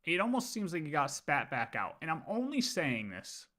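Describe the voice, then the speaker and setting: A man's voice: fairly high pitch, very animated, moderate pace, sharp tone. A man livestreaming casual game lore commentary, thinking aloud and often repeating his words as he works through ideas.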